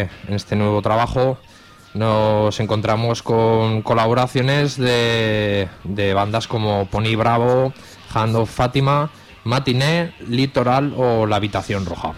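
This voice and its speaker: Male radio host, Spain accent, lowish pitch, informal and slightly halting music presentation over clearly audible music. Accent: Spanish from Spain.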